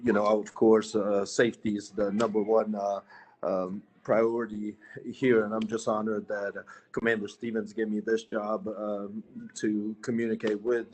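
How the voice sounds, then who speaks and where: A man from Bosnia, a police sergeant, introducing himself at a virtual community meeting with many halting fillers. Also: lowish voice, little pitch movement, darkish tone, a little hiss or hum, poor audio.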